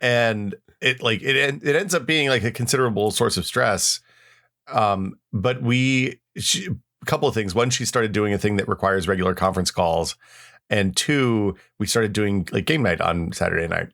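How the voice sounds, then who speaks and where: A man's voice: lowish voice, normal speed, a bit bright. A middle-aged man co-hosting a podcast in casual chat, rambling with many restarts and goofy asides. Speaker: podcast co-host.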